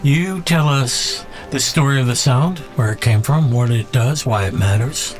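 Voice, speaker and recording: A man hosting in a casual, reflective monologue, speaking in a halting, whimsical way with pauses. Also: mid-range voice, soft background music. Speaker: contest organizer and show host.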